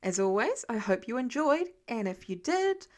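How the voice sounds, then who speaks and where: A woman's voice: fairly high pitch, sing-song, normal speed. A woman reading scripted fiction aloud as an amateur audiobook narrator.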